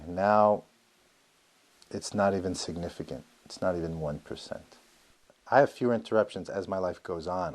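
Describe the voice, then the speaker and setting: A man in his fifties speaks in a personal interview, his voice sitting very low. He stutters openly, repeating words and stalling on blocks before pushing on. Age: 50s.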